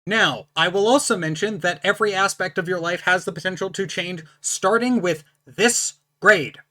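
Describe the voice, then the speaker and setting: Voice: mid-range voice, normal speed, sharp tone. A channel host with a powerful, distinct voice, explaining practice rules to viewers in a blunt, emphatic, lecturing way. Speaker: channel host reviewing a book. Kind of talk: casual explanatory talk to camera.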